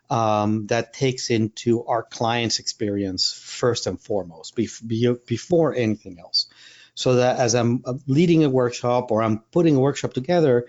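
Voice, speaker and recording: A man's voice: medium pitch, darkish tone, lo-fi sound. A man from Rico, a Spanish speaker, chatting casually in an interview with lots of fillers and restarts. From Puerto Rico. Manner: rambling, many fillers and restarts. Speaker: wildlife photographer and workshop leader.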